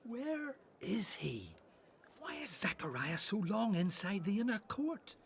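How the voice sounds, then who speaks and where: A woman's voice: mid-range voice, very animated, slow pace. A woman playing an anxious, concerned worshipper in a radio drama. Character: anxious, concerned worshipper waiting outside the temple.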